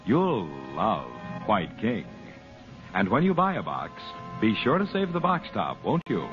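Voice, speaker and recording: A man reading as an old-time radio announcer and pitchman. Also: huge pitch swings, a little slow. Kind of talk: 1940s radio advertising read. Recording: muffled vintage radio, music behind.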